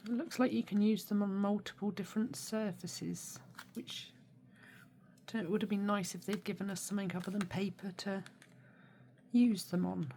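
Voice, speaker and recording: A woman with a hoarse, snuffly cold, chatting casually and quietly as she unboxes art supplies, with many hesitations. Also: medium pitch, moderate pace. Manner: soft-spoken, often drops to a near whisper; mildly critical, hesitant with many ums. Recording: steady background noise.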